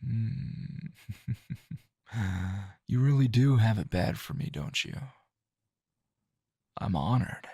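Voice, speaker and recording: Man acting a gravelly, husky, slow seductive lover. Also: mid-range voice, expressive, no room sound, clean studio sound, full character voice. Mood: teasing, seductive. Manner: slow, teasing, breathy pauses and soft laughs. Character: flustering, seductive, softly confident male anime hero lover. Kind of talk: intimate ASMR roleplay acting.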